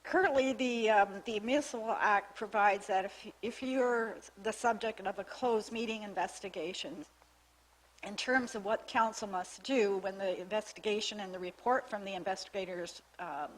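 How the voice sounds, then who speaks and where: A woman's voice: mid-range voice, moderate pace, low-quality recording. Woman losing her voice, briefing a council. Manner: methodical, reads out clauses with small restarts. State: losing her voice, with frequent coughs. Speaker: municipal staff member presenting to town council. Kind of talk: formal briefing on legislation. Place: council chamber at a public meeting.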